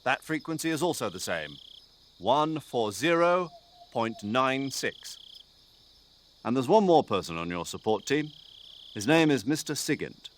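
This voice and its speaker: A man voicing an older military commander in a video game, precise and drily good-humoured, articulate and measured as he briefs an agent. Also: medium pitch, sing-song. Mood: businesslike, grave. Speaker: mission commander giving a radio briefing.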